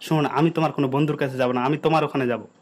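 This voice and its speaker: A young man with a slightly high voice. He speaks very fast and insistently, in casual phone-call style, with faint noise in the background. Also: fairly even intonation. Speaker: prank caller and video host.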